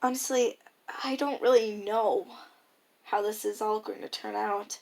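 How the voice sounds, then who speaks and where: Teen female, high school age, with noticeable vocal fry, for a reflective personal audio log; casual, hesitant delivery. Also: steady tone, unhurried, a little mellow. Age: teenager, high school freshman. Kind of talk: casual spoken audio diary, reflective monologue.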